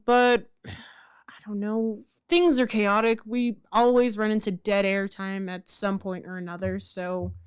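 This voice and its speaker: A woman's voice: medium pitch, smooth, dry recording, studio quality, lightly acted. A woman playing a stand-in radio presenter in a radio fiction show with poetry readings. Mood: harried, self-assuring. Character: brisk, wounded radio colleague recording a stand-in poetry segment. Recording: music playing under the voice.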